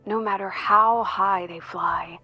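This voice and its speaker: An actress playing a composed, wistful academy mentor in a video game, speaking in a measured, reflective way. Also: female voice, medium pitch, steady tone, sharp tone, breathy edge, dull audio, soft background music, exaggerated voice. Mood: wistful, gentle.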